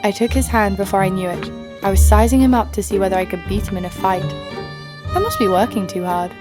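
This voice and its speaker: A woman acts out a brash young female adventurer for an audio drama, telling her own exploits. She is sarcastic and blunt, with a mid-pitched voice and music playing underneath. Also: a little fast, lightly acted. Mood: wry storytelling. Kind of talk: first-person audio drama narration.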